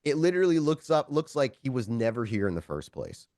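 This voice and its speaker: Animated man running a tabletop game. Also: medium pitch, a little fast, dry recording, studio quality. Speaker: game master. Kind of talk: narrating a tabletop roleplaying game, conversational.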